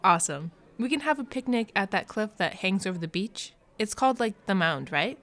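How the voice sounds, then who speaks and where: A woman's voice: medium pitch, expressive, fairly quick, fairly bright tone, close to an everyday voice. A woman playing a friendly, easygoing teenage girl with a casual manner in a fiction podcast. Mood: pleased. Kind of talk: audio fiction podcast acting.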